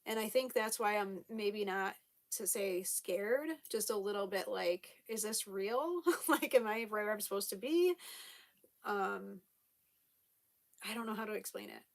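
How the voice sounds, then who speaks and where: A woman's voice: mid-range voice, unhurried, creaky. A young woman with a slight lisp, chatting casually to camera at home as she reads viewer comments, laughing often. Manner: chatty, laughs often, many fillers and restarts. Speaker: vlogger reading viewer comments.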